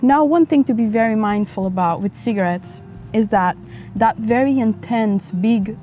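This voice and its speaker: Mellow-voiced young woman from Portugal. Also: a little high, normal speed, faint music behind. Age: 20s. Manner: chatty, rambling, frank. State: slightly raspy, dry throat. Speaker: vlogger. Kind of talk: casual personal vlog. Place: outdoors near a busy highway. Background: passing motorcycles and traffic.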